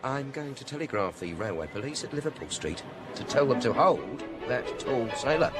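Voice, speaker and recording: An actor playing a self-important, bumbling English police inspector with an English accent, stammering and flustered, in a radio play. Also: male voice, medium pitch, sing-song, normal speed, over loud music, close to an everyday voice. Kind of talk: radio drama acting.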